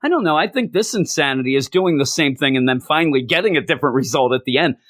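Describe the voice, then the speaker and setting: A hoarse man reviewing a comic book, ranting in exasperation and repeating his complaints for emphasis. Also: on the high side, animated, quick pace, a bit bright, no echo, clean studio sound.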